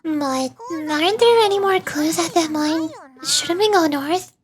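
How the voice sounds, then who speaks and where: A little girlish game companion, very high in pitch. She is excitable and childlike. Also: unhurried, a crowd in the background.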